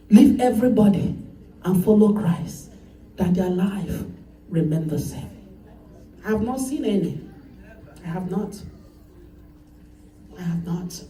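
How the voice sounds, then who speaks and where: High-pitched woman preaching in an echoey hall. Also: dark tone, a little hiss or hum. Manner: preaching with call-and-response, emphatic repetition. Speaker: pastor. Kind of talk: sermon. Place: church hall. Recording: echoey church hall, voice from far off.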